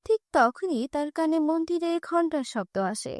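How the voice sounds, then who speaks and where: A woman narrating a children's cartoon fairy tale with a high voice in clear, even storytelling. The recording is dry and close. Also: moderate pace, dry recording.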